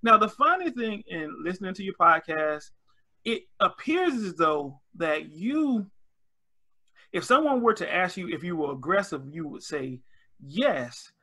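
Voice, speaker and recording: A man hosting an interview in an animated, enthusiastic way. His voice sits high and sounds very bright, and his pitch swings widely as he talks. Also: unhurried. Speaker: interview host. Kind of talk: conversational interview.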